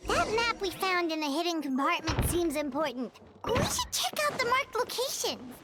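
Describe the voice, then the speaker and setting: A tiny, chirpy companion from a video game. The childlike voice is squeaky, sky-high and wildly animated. It comes across as loyal and earnest. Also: female voice, moderate pace, very noisy. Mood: puzzled.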